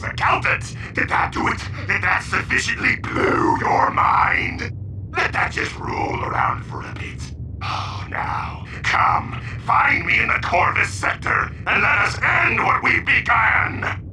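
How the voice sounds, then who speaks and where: Theatrical creaky-voiced space villain. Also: male voice, very high voice, lively intonation, slow, pushed and bright, recorded from a distance, a little hiss or hum, heavily acted. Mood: gloating menace. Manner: melodramatic, pompous. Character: theatrical gloating armored male space villain.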